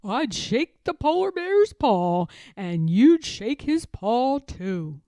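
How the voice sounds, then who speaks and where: A kindly old grandfather bear, once a sea captain, played by a woman reading a storybook. He talks very slowly and with emphasis, reassuring and drawn out, in a lively rise and fall. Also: on the high side, dry recording, clean studio sound, pitched above the performer's own voice. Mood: explaining, kindly.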